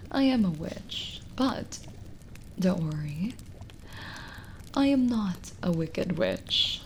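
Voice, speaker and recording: A kindly, lonely witch voiced by a woman talks intimately to the listener in an ASMR roleplay. Her voice is soft, a little low and creaky, often dipping toward a whisper. She is gently teasing and chatty, over audible background noise. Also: exaggerated voice. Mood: coy, flattered. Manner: slow, hesitant, gently teasing.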